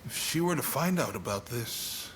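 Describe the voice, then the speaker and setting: Actor as an affable gentleman official, lively intonation. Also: medium pitch, normal speed, a little hiss or hum, natural voice, low-quality recording. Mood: calm, musing. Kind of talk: video game cutscene acting.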